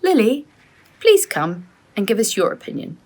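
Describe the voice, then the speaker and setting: A woman's voice: medium pitch, sing-song, normal speed, darkish tone, pitched above the performer's own voice. A woman voicing a levelheaded, bemused uncle in a children's storybook, with colloquial, questioning delivery. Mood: puzzled.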